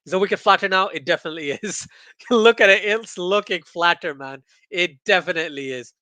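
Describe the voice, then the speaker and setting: A man originally from Pakistan hosting a casual cricket watchalong, reading viewer comments aloud and commenting excitably, often repeating phrases for emphasis. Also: sits high, a little fast, no echo. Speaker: livestream host and cricket commentator.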